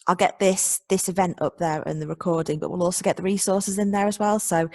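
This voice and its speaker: An upbeat, chatty woman hosts an online panel and thanks the speakers. Her voice sits lowish, and the sound is dry with no room echo. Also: steady tone, fairly quick, a little mellow. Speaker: event host. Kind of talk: video call panel hosting. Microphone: video call.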